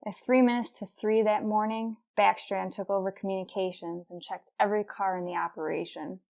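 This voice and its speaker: Woman acting a terse, deadpan female police detective. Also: mid-range voice, brisk, gravelly, close to an everyday voice. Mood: matter-of-fact. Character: determined, businesslike female police detective sergeant narrating her case. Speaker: narrator and lead actor. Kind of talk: 1950s police radio drama narration, clipped and matter-of-fact.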